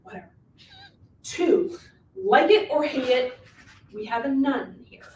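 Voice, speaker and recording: A woman acting a bossy, scheming, animated witch who insists on steering the story, in a live reading of a comic stage play. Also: sits high, slow pace. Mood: exasperated. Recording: distant, echoey room recording with hiss.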